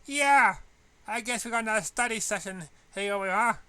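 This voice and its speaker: Guy playing a super bubbly teen girl, squeaky high, bright and playful. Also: normal speed, clear, resonant tone, dry recording. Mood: chirpy. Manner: chirpy, playful. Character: bubbly, energetic, friendly teenage schoolgirl.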